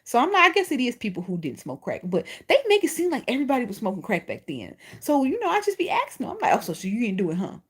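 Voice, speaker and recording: Lively Chicago woman with a lisp. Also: on the high side, rapid-fire, hardly any pauses, slightly rough. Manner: blunt, profane and animated, answering chat. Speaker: livestream host. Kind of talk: casual livestream chat.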